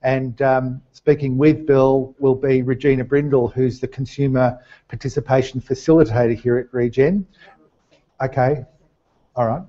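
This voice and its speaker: A middle-aged man gives a semi-formal presentation with a narrow, even pitch range. He moves haltingly from phrase to phrase. The sound is slightly dull and lo-fi, like a seminar webcast. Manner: hesitant, halting delivery. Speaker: seminar presenter introducing a speaker. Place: small indoor seminar room.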